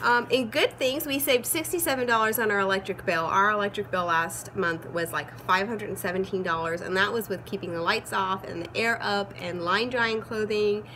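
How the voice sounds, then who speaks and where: A woman in her thirties, hoarse from a cold, chatting casually and upbeat with lots of ums as she vlogs from home. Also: medium pitch, moderate pace, hardly any pauses, bright, forceful tone, slight background noise. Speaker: vlogger. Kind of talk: casual chat. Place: at home, changed rooms.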